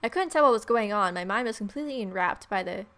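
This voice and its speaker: A woman's voice: mid-range voice, lively intonation, quick pace, fairly bright tone. A woman hosting a let's play, reading a visual novel aloud with playful, irreverent casual commentary.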